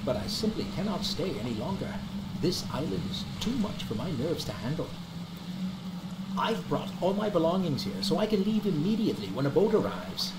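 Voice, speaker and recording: Man acting as nervous polite gent, very high voice, fretful, animated, video game character, roomy, loud rumbling noise. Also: normal speed. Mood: anxious. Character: anxious, nervy, polite man desperate to leave.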